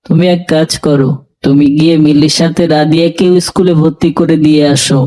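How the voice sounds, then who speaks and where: A man's voice: on the high side, warm tone, natural voice, rough recording. A man voicing a caring, concerned, mild-tempered father in a children's moral cartoon.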